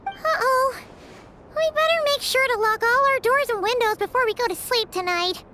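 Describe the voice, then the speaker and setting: A woman voicing a tiny floating female companion who is excitable and chatty and speaks of herself in the third person, in a video game cutscene. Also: very high-pitched, normal speed, slight background noise. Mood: excited, chatty. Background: game background music.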